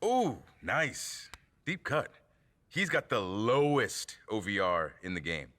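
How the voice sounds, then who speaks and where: A man's voice: lowish voice, big pitch swings, slow pace, fairly bright tone, heavily acted. A man playing a brash, mocking wrestler and gamer in a video game, with emphatic, taunting, theatrical delivery. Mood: smug. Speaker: rival wrestler who hosts a gaming show. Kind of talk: scripted video game cutscene acting.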